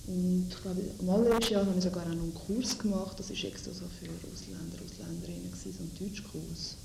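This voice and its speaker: A woman's voice: fairly low pitch, fairly even intonation, quick pace, creaky. A young woman with a Swiss German accent, answering interview questions in a hesitant, thoughtful way with many fillers. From Switzerland. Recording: echoey room with background noise.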